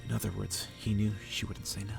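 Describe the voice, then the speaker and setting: A man softly reading a story aloud in ASMR style, sometimes dropping toward a whisper and stumbling over the text. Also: lowish voice, little pitch movement, fast talker, creaky, distant mic, over music. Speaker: ASMR reader.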